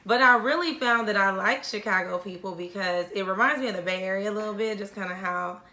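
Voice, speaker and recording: A bright-voiced woman co-hosts a casual podcast, recalling events with frequent laughter and a quieter tone in her reflective moments. The sound is roomy and echoing, as if recorded in a bare room. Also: medium pitch, quick pace. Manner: laughs often, drops softer when reflecting. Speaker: podcast co-host. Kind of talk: casual storytelling chat. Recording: roomy echo.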